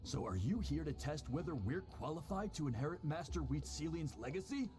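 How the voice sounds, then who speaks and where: A man's voice: fairly high pitch, very animated, a little fast. A man voicing a proud young warrior disciple, loyal and formal, in a video game. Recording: background music under the voice.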